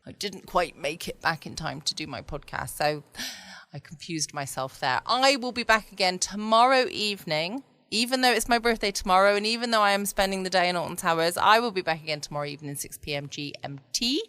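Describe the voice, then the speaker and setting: A woman's voice: on the low side, lively intonation, a little fast, pushed and bright. A woman chatting casually as a podcast host at home. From United Kingdom. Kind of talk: casual chat.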